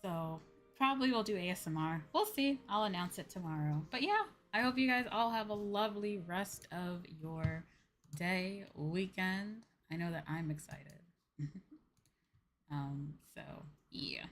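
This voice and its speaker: A woman's voice: lowish voice, unhurried, a little hiss or hum. A woman livestreamer chatting casually and politely while gaming, musing to her audience. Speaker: livestreamer and host. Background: music.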